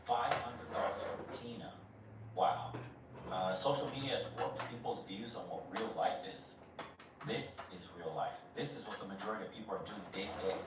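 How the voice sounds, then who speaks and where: A man's voice: on the low side, distant mic. A man giving casual, blunt reaction commentary, swearing freely and using slang. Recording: roomy echo, off-mic, noisy.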